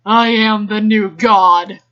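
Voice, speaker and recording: A woman's voice: medium pitch, fairly even intonation, slow pace, natural voice. Pleading young man, played by a woman, lo-fi. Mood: solemn awe. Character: pleading, emotional young man in fan fiction. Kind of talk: melodramatic amateur dramatic reading. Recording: dull lo-fi home recording.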